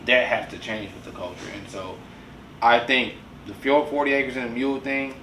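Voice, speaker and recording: A man's voice: medium pitch, moderate pace, pushed and bright, reverberant, distant mic, slight background noise, rough recording. A man in his forties hosting a casual podcast discussion, talking earnestly and preachily and often asking "you know what I'm saying?". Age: 40s. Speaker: podcast host.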